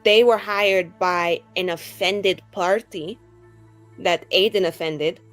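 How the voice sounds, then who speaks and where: A woman's voice: lowish voice, steady tone, slow pace, faint music behind. A woman playing a bubbly, vain, scatterbrained young adventurer in a tabletop roleplay, rambling breezily with lots of "like". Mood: earnest, chatty. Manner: rambling, heavy use of "like", breezy.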